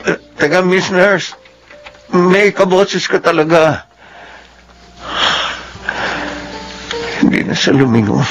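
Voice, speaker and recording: A man acting an earnest, affectionate son recovering in hospital, in halting radio-drama dialogue. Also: mid-range voice, vocal fry, a bit bright, poor audio. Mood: puzzled, curious. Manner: halting, searching for words.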